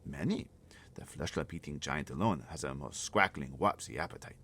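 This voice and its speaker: A man doing a gentle, deep-voiced friendly giant. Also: lively intonation, breathy edge, heavily acted. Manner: slow, gentle, muddled grammar. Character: kindly, mournful giant with mangled grammar.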